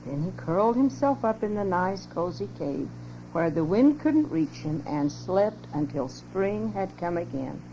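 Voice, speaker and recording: An older woman gently reading a children's story aloud at home for her family, on a lo-fi home cassette tape with hum. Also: mid-range voice, big pitch swings, measured pace.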